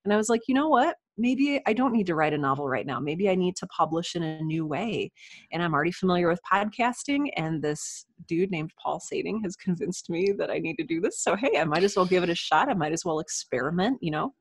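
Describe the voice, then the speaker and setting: A woman chatting casually as a podcast guest, telling her story in an animated way. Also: on the low side, fairly quick, husky, no echo, studio quality. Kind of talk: casual podcast interview chat.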